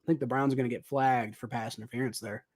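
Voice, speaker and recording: A young man doing casual live football commentary, thinking out loud with lots of ums and uhs, his voice a little dry and raspy. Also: mid-range voice, steady tone, fast. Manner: rambling, thinking aloud with many ums and uhs. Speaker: livestream host commentating football.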